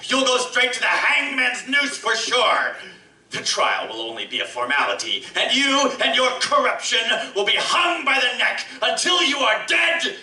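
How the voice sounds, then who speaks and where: Pompous male inspector for audio drama: very high, piercing, wildly swooping bluster, echoey live room. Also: sing-song, normal speed, sharp tone, heavily acted. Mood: indignant. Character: officious, indignant, slow-witted male police inspector. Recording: roomy live stage, distant mic.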